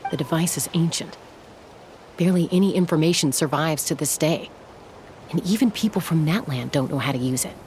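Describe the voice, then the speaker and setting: A woman's voice: sits low, brisk, darkish tone, a little hiss or hum, natural voice. A woman playing a serious, protective young female warrior in a video game, level-headed and no-nonsense. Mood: focused.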